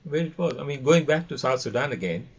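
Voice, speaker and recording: A man speaking as a podcast guest, telling stories casually in a halting way, repeating words, over lo-fi call audio. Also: medium pitch, animated, husky. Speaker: podcast guest, radio expert. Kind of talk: casual storytelling.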